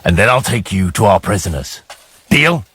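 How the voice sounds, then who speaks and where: An actor playing a gruff, combative commander of an armed band in a game, blunt and challenging. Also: male voice, lowish voice, expressive, normal speed, fairly bright tone. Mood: defiant.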